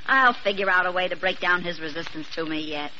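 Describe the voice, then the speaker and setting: A teasing, feisty woman in a 1940s radio drama. She is saucy and quick to retort. The old recording is dull, with clear noise. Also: lowish voice, pushed and bright. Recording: muffled, noisy vintage radio recording.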